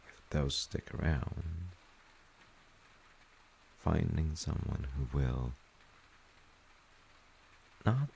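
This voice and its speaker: A man playing a gentle, thoughtful, reassuring dad in a soft-spoken comfort roleplay, hesitant and reflective, with a husky, gravelly voice. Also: rumbling low, slight background noise, exaggerated voice. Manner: hesitant, reflective, pausing mid-sentence. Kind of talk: soft-spoken sleep-aid audio roleplay.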